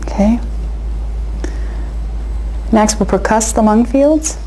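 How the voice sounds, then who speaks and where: Mellow-voiced woman demonstrating a medical exam. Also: a little high, takes it slowly, poor audio. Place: exam room, recorded for video. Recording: clear background noise.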